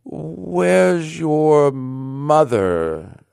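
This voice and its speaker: A man's voice: mid-range voice, big pitch swings, unhurried, darkish tone, dry recording, clean studio sound, voice pushed up. A man voicing a bewildered, distraught gray-bearded old man in an audiobook, crying out in confusion. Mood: anxious, faltering. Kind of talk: audiobook character voice.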